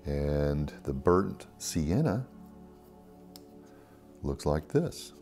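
Brief: low-voiced male art tutor, unhurried delivery, soft guitar music under him. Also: very animated. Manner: easygoing, unhurried, many ums and uhs. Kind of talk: relaxed step-by-step painting demonstration. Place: at a table, painting from overhead camera view.

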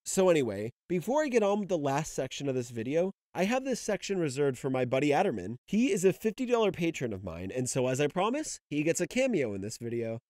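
A young man giving expressive, enthusiastic scripted commentary as the host of a video essay. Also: on the high side, quick pace, hardly any pauses, no echo, studio quality. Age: young adult. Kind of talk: scripted manga character commentary.

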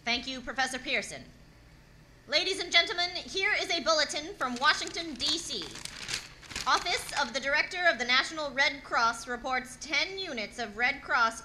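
A woman playing a solemn, formal news announcer reading bulletins in a 1930s-style radio drama, with measured phrasing. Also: high-pitched, background noise. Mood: formal, urgent.

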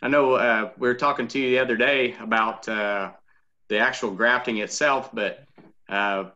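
Man, from Illinois, caller, hesitant with fillers, bright tense voice, dull lo-fi video call sound. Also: medium pitch, fairly even intonation, normal speed. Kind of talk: casual video call chat.

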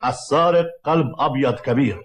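A man voicing a stern, methodical village policeman in a dubbed cartoon, official and deliberate. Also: medium pitch, close to an everyday voice.

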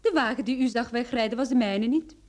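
An actress playing a bold, teasing private detective in a Dutch radio play, with a playful, self-assured manner. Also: female voice, a little high, fairly quick, close to an everyday voice. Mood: confident.

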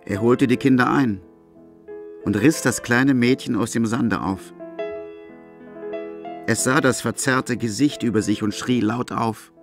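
A man's voice: medium pitch, a little slow, pauses often, soft background music. A man narrating a literary audio drama from a script.

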